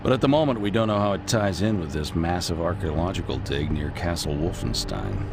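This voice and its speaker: Deep-voiced formal military intelligence officer. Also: male voice, expressive, fairly quick, noisy background. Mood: businesslike. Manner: formal, businesslike briefing delivery. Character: crisp American military intelligence officer briefing his superior. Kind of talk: video game cutscene briefing.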